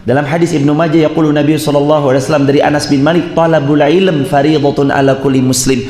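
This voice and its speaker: A man's voice: a little high, little pitch movement, quick pace, darkish tone, reverberant. A male preacher giving an emphatic mosque sermon, quoting Arabic and putting questions to the congregation.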